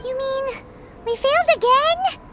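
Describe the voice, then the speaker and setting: A woman voicing a small, childlike, excitable fairy companion in a video game, with a bubbly, exclamatory delivery. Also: very high voice, unhurried. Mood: disappointed. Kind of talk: video game character acting. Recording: music behind the voice. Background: game music.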